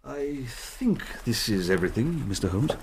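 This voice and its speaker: An actor playing a formal, reserved household servant in a radio drama, polite and correct. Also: male voice, medium pitch, big pitch swings, moderate pace, a little hiss or hum. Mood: deferential. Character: formal, deferential male English household servant. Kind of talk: British radio drama acting.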